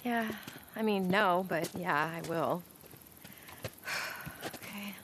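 A woman's voice: lowish voice, slow pace. An actress playing a caring, easily flustered young American professional in a video game, with noise around her. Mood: tentative, affectionate. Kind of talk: naturalistic video game acting. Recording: clearly noisy outdoor background.

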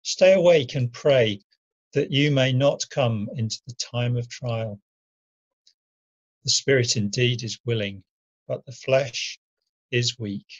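A man playing a grave, solemn male teacher and prophet in a deliberate scripture drama reading. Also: mid-range voice. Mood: sorrowful, solemn. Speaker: amateur reader in church dramatic reading. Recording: dull lo-fi home recording.

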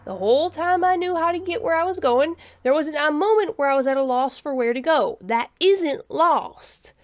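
Woman acting a stubborn, casual man, high-voiced. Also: lively intonation, normal speed. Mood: defensive, stubborn. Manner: folksy, casual, defensive. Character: tired, stubborn, casual human man explorer.

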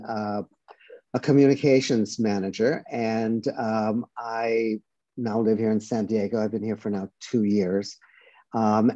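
A man's voice: on the low side, dark tone, dry recording. A man raised in Boston introducing himself as a committee member over a video call, hesitant with many fillers. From Boston, USA. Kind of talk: brief self-introduction. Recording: muffled, dry video-call audio.

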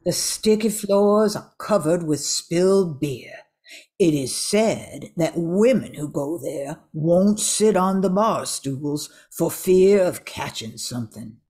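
A woman's voice: on the low side, animated, takes it slowly, dark tone, slightly rough. An older woman reading scripted narration for an amateur radio drama.

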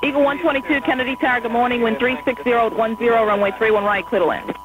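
A woman air traffic controller in an airport tower, giving quick, polite instructions to pilots over the radio. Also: a little high, fairly even intonation. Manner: brisk, polite and apologetic. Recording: noisy radio transmission with hum.

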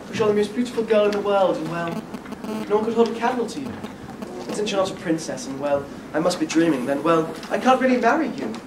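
A man's voice: high-pitched, expressive, fast. A young man playing a bashful, earnest fairy-tale prince in a stage pantomime, projecting hesitantly. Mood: lovelorn. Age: young adult. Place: theatre stage before an audience. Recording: echoey theatre, distant mic, room noise.

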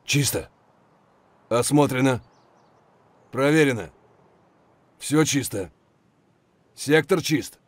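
Husky, gruff game soldier. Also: male voice, mid-range voice, takes it slowly, pauses often, no echo. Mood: terse, businesslike. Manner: terse, clipped phrases. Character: gruff, weary, foul-mouthed Russian soldier. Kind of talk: military shooter game voice lines.

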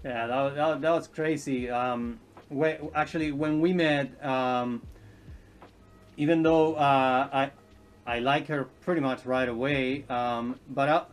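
A man in his thirties from Chile, a podcast guest chatting casually and haltingly, with many ums and restarts, recorded from a distance in a living room. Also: mid-range voice, fairly even intonation, clean tone, soft background music. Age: 30s. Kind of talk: casual interview chat. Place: indoors, home living room.

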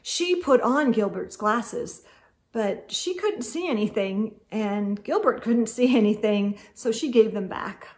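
A middle-aged woman reads a picture book aloud for children, expressive and carefully phrased, as a storytime host. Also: on the high side. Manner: deliberate, clearly phrased delivery aimed at young children. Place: indoor room with bookshelves.

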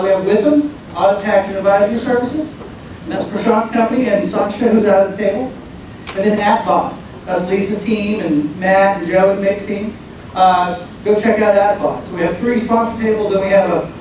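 A man making casual announcements to a conference crowd, with many fillers and restarts, recorded from the back of a large room. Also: high voice. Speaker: event organizer. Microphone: far camera at back of room. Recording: echoey room from far off, noisy.

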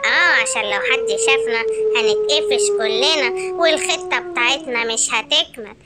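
Cartoon villain: woman as mocking, conspiratorial Egyptian male animal, high register, stretched-out sly phrases. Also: expressive, moderate pace, loud music behind the voice. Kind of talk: children's animal story acting.

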